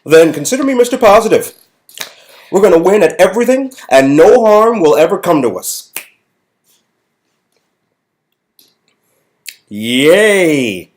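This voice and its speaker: A man playing a sarcastic, excitable man in a game story, given to exaggerated outbursts. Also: sits high, normal speed, voice pushed up. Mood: mock cheerful. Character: sarcastic, excitable, grumbling man named Damien. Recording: music clearly behind the voice.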